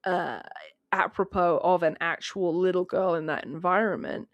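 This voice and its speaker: A woman talking casually as a guest in a film discussion, telling it in an animated way and acting out imagined remarks. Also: on the low side, normal speed, dry recording, dull audio.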